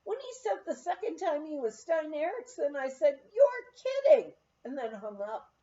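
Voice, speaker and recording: A woman reader putting on an excitable, naive young woman in a storybook telling. Her voice jumps high and swoops as she exclaims her news. The recording sounds a little dull. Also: moderate pace. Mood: excited. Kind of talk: storybook read-aloud.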